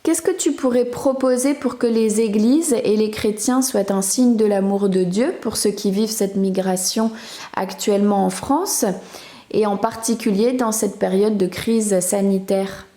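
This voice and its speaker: A woman asking gentle, inviting interview questions. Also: medium pitch, unhurried, reverberant.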